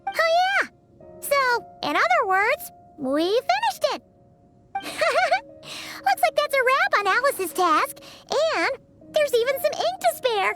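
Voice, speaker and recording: A woman voicing a tiny, cheerful fairy companion in a video game, with a squeaky childlike voice and bubbly, excitable delivery. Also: full character voice. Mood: cheerful, excited. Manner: bubbly, exclamatory, refers to self in third person.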